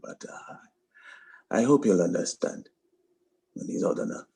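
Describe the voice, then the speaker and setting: A man acting a soldier set on vengeance, reading a drama script in a very low, dark voice. He is sorrowful and resolute, speaking gravely and deliberately with a gravelly rasp. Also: animated, whispered. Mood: solemn, wistful. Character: resolute, sorrowful soldier bent on revenge, male. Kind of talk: dramatic script table read.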